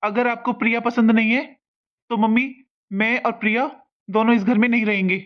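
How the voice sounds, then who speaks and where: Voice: mid-range voice, level tone, fairly quick, natural voice. An actor playing a defiant young newlywed son in a cartoon family melodrama, earnest, firm and insistent. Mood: firm, resolute. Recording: dull lo-fi sound.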